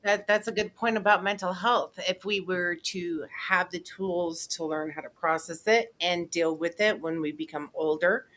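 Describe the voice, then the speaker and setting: A woman's voice: fairly low pitch, fairly even intonation, normal speed, few pauses, a bit bright. A woman on a discussion panel, talking bluntly and emphatically, and not minding whom she offends. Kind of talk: conversational panel talk.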